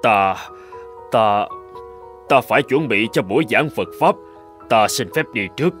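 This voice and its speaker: A man voicing a kindly, upright Buddhist monk with a formal, earnest, courteous manner in an animated folk tale. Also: mid-range voice, measured pace, faint music behind. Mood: flustered.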